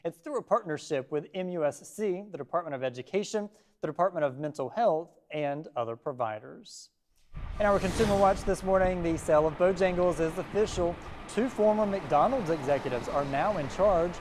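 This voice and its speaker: A man's voice: a little high, lively intonation, a little fast, a little mellow. A man anchoring a local television newscast, reading scripted stories from a studio. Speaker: TV news anchor.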